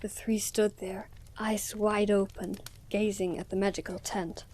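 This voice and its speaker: A woman's voice: medium pitch, level tone, measured pace, darkish tone, a little hiss or hum, low-quality recording. A woman reading scripted storytelling narration as the narrator of an audio drama.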